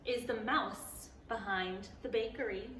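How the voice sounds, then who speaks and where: Bright, slow-reading storytime woman in an echoey room. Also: mid-range voice, a little hiss or hum. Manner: animated, pauses to ask the children questions; playful, gasps and exclaims at the story. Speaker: library storytime reader. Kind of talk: reading a picture book aloud to preschoolers. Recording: echoey room, voice a bit far from the mic.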